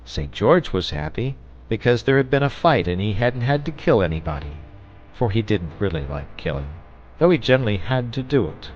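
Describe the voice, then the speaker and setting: Guy reading a storybook, low voice that moves around a lot, kinda muffled lo-fi audio. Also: sing-song, moderate pace, slight background noise. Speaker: audiobook narrator. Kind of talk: expressive storybook narration. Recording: dull, lo-fi audio.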